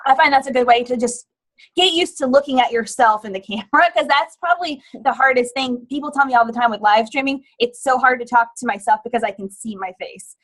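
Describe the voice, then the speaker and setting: High-voiced woman panelist, friendly tip-giver, throat clears. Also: a little fast, a bit bright. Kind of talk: casual advice.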